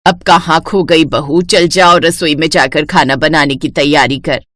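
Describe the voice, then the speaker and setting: A woman voicing a bossy, sharp-tongued middle-aged mother-in-law who scolds and demands, in a cartoon story. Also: deep voice, steady tone, a little slow, no room sound, clean studio sound, voice pushed down.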